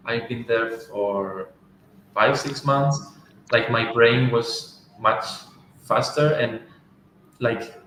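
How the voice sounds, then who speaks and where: Halting man in very echoey room. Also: mid-range voice, fairly bright tone. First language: Spanish. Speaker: guest language learner. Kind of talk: casual chat. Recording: very echoey room with faint noise.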